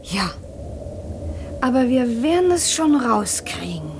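An actress playing a nosy, excitable woman in a German radio play, speaking with hushed urgency. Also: on the high side, slow, full character voice. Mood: tense curiosity. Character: nosy, excitable woman watching a neighbour in secret.

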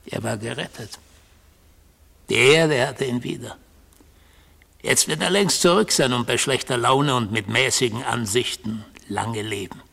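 Accused in court: raspy, slow, eloquent and sardonic, declaiming in a long reverb. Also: male voice, medium pitch, pushed and bright, full character voice. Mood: resigned. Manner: declamatory, probing. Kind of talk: classic German radio play acting.

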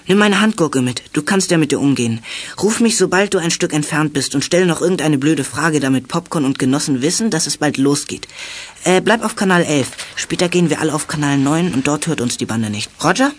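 Animated schoolboy detective. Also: male voice, fairly high pitch, brisk. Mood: instructing. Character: lively, easy-going schoolboy detective. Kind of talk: youth radio play acting.

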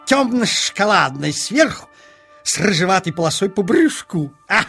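An actor playing a vain, sly forest polecat in a children's audio play, blustering and repeating himself teasingly. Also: male voice, high voice, sing-song, moderate pace, vocal fry, a bit bright, breathy edge, soft background music, exaggerated voice. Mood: boastful.

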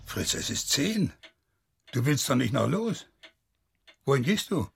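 Husky, creaky, grumbling old farmer. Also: male voice, medium pitch, sing-song, measured pace, heavily acted. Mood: nagging, prying. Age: older. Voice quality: gravelly, husky. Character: grumbling, stubborn old farmer father, nostalgic for harsher times. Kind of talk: German radio drama acting.

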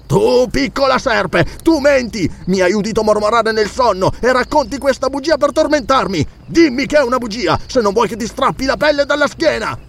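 Narrator guy doing a menacing mad count, raised pitch, big swings, fantasy storybook villain. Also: expressive, moderate pace, gravelly, few pauses, exaggerated voice. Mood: furious disbelief. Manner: raging, menacing, roaring. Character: crazed, violent, tyrannical count, an uncle.

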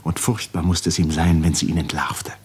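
A low, breathy man's voice narrates a literary radio drama in 1950s style. His phrasing is deliberate and dramatic, often sinking into a hush at the ends of sentences. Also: flat delivery. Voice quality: breathy, husky.

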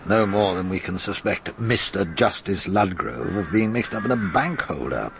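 An actor playing a patient, courteous senior police detective in a radio drama, measured and gently probing. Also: male voice, low voice, animated, vocal fry. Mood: thoughtful, shrewd. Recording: noisy archive radio drama recording.

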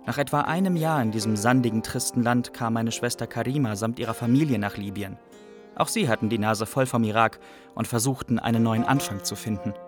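A male actor as a young Iraqi refugee looking back on a wandering life. The voice sits at a medium pitch, in reflective radio-drama narration over faint music. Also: fairly quick, natural voice. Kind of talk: scripted first-person radio play narration.

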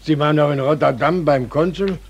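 An actor playing an astonished, sympathetic gentleman listener in a 1940s German radio play, interjecting in disbelief. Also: on the high side, fairly quick, breathy edge. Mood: incredulous. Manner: interjecting, incredulous. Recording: old radio recording with faint noise.

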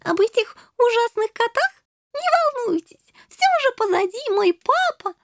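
A little puppy princess from a children's storybook, with a very high, squealing voice and bouncy intonation. She is naive and endlessly curious, chattering excitedly over music. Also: a little slow, no room sound, studio quality, exaggerated voice. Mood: chirpy.

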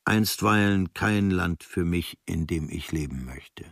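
Male literary reader, fairly low register, measured and observational delivery, dry studio recording. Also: creaky, a bit bright, dry recording. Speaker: reader of a literary travel diary. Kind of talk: literary audiobook reading, scripted narration. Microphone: close studio microphone.

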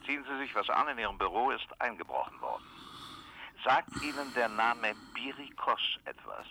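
An actor playing a brusque, impatient police inspector in a German radio crime drama, curt and official. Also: male voice, mid-range voice, big pitch swings, moderate pace, gravelly, background noise.

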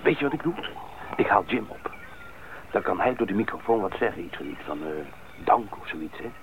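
A man playing a level-headed bush pilot in a radio drama, earnest and deliberate. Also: fairly low pitch, big pitch swings. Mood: thoughtful. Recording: noisy, thin old radio recording.

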